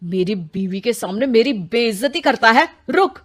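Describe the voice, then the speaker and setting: A woman's voice: a little high, expressive, normal speed. A woman voicing a proud, argumentative married elder brother with an indignant, bickering manner in a Hindi cartoon. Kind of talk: Hindi moral-story cartoon dubbing.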